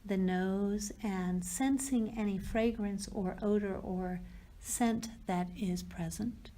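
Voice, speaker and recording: A woman leading a guided yoga nidra meditation as a teacher, speaking in slow, soothing, unhurried phrases with pauses between them. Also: medium pitch, mellow.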